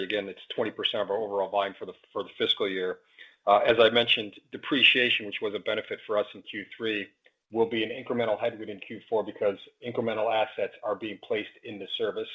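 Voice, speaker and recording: A man with a lowish, husky voice explains figures as a finance executive on an earnings call. His delivery is halting, with repeated words, and stays very flat. The audio is slightly muffled. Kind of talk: answering analyst questions on earnings call. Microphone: conference call line.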